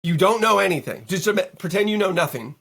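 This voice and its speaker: Guy producer on a podcast, kinda hoarse, lively storytelling, a bit higher voice. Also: fast talker. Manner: animated, swearing, mock-quoting people. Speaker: guest producer. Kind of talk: casual podcast chat.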